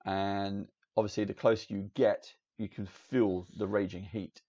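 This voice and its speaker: A man narrating as game master in a relaxed, meandering way, in dull lo-fi audio with faint hiss. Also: fairly low pitch, a little slow.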